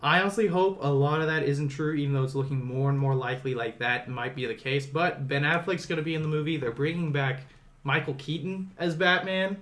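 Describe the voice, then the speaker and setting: A man's voice: a little high, brisk, fairly bright tone. A man hosting a podcast, talking casually about films in a conversational way and steering the discussion along. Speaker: podcast host.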